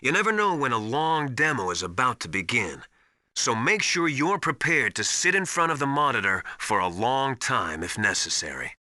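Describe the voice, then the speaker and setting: An actor playing a stern veteran military survival instructor in a video game, briskly lecturing with emphatic, commanding authority. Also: male voice, mid-range voice, very animated, sharp tone, no echo, studio quality. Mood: firm, advising. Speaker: mission survival adviser. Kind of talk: video game voice acting, instructional radio briefings.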